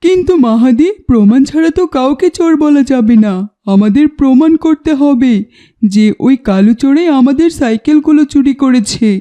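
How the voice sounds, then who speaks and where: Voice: sits high, animated, moderate pace, dark tone. A voice actor playing an earnest young village schoolboy in a cartoon, explaining things plainly. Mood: concerned. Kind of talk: cartoon voice acting.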